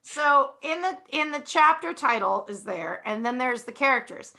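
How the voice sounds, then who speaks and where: A woman's voice: high voice, animated, moderate pace, bright, forceful tone. A woman guest-hosting a comedy podcast, outspoken and sardonic. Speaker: guest co-host. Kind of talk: casual comic banter.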